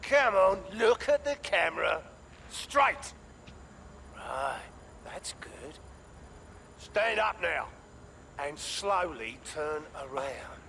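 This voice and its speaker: A man's voice: fairly high pitch, big pitch swings, drawn-out words, vocal fry, long pauses, sharp tone, slight background noise, heavily acted. An actor playing a crude, cruel trafficker who bullies his captives. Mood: commanding. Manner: crude, bullying. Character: brutal male human trafficker, crude and cruel.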